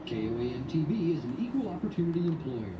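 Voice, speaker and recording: Announcer, formal even read, echoey with loud noise behind. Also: mid-range voice, fast talker, distant mic. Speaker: station announcer. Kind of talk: scripted public notice.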